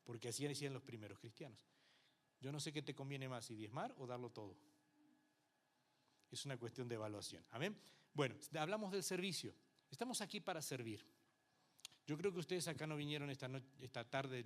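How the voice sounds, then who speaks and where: A man's voice: medium pitch, expressive, moderate pace, long pauses. A man from Uruguay, a pastor, teaching a Bible class in church in Uruguayan Spanish with some Mexican influence, talking with the congregation and acting out what people say. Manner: conversational preaching with frequent rhetorical checks and acted-out quotes.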